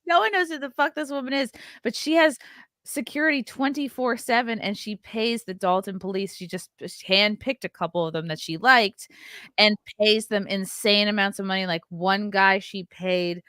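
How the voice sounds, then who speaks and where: Bright-voiced woman in profane chat. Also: medium pitch, moderate pace, no room sound, clean studio sound. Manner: profane, animated, mimics people she talks about. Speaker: comedian podcast host. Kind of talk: casual commentary chat.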